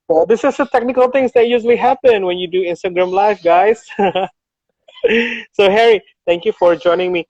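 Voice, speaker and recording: A man's voice: high voice, normal speed, darkish tone, no echo. An Indonesian man hosting a casual live stream from his backyard, speaking Indonesian-accented English, friendly and given to fillers. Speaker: host, country manager. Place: backyard outdoors. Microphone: phone held in hand. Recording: dull lo-fi phone audio.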